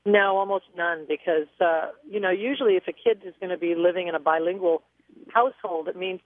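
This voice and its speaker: A woman's voice: lowish voice, brisk. A woman singer telling stories as a guest in a radio interview, chatty and reflective, heard over a phone line.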